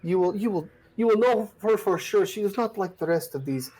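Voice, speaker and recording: A man's voice: high-pitched, expressive, brisk, a little mellow, voice pushed up, poor audio. A man voicing a grief-stricken village woman who pleads tearfully for help finding her lost daughter. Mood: pleading.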